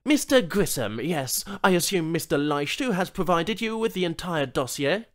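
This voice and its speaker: A man's voice: a little high, sing-song, normal speed, pitched above the performer's own voice. A man voicing an impatient, brusque corporate executive in an audiobook story. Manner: brusque, absent, imperious.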